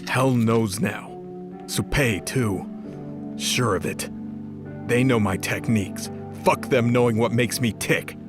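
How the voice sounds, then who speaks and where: A man acts out a bitter, foul-mouthed fallen archangel in an audio drama. He speaks at a slow pace, cynical and quick to temper, over clearly audible music. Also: medium pitch, close to an everyday voice. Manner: cynical, combative, cursing. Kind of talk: dramatized audio fiction acting. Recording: music behind the voice.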